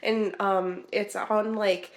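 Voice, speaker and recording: A woman, chatty and relaxed, giving crochet project updates in a vlog; she sounds congested and a little nasal from a sinus infection. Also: mid-range voice, slow pace. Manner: chatty, relaxed, many ums and restarts. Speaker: crochet vlogger. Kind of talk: casual vlog chat.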